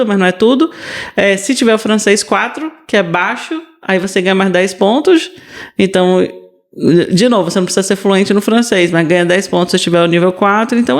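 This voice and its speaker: A woman with a Brazilian accent speaks as a podcast co-host. She explains in a casual way, stressing and repeating her points. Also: mid-range voice. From Salvador, Brazil.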